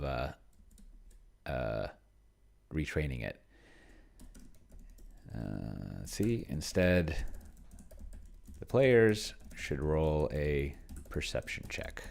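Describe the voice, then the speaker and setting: A man casually thinking aloud as he plays a solo tabletop game, halting and muttering to himself as he types. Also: a bass voice, gravelly. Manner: self-described pedantic. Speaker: solo tabletop player and video host.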